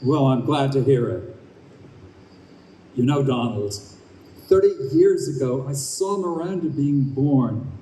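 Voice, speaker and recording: Worried indignant dad in an old radio play, voice jumps around, mellow, roomy live sound. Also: male voice, mid-range voice, takes it slowly, long pauses. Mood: anxious chatter. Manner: earnest, exclaiming, expressive. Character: Carl, anxious indignant dead father awaiting rebirth. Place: live performance in a convention room. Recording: roomy, distant live stage recording, faint noise.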